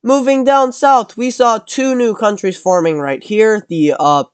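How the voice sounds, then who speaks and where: A man's voice: sits high, a little slow, clean tone, no echo. A man with a cold narrating a map scenario in casual commentary, rambling and correcting himself as he goes. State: has a cold.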